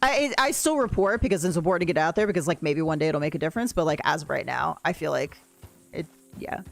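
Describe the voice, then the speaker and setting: Woman, deep voice, gaming livestream, chatty, rambling, reading chat at a desk mic. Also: fast, creaky, soft background music. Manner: chatty, rambling, answers chat while playing. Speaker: streamer. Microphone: desk mic, no camera.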